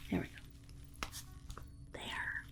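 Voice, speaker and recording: A woman's voice: very slow, sharp tone, faint music behind. Woman playing a whispering, soothing alien scientist. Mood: soothing, matter-of-fact. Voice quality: breathy whisper. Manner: soothing, coaxing, hesitant asides. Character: alien scientist, polite, reassuring, breezily condescending toward humans. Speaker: ASMR roleplay performer. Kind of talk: whispered ASMR roleplay.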